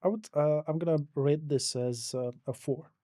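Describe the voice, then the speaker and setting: A man with a Hungarian accent giving casual, explanatory answers as an interview guest, somewhat halting in his delivery. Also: on the high side, warm tone, no echo, studio quality. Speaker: interview guest, digital marketing professional.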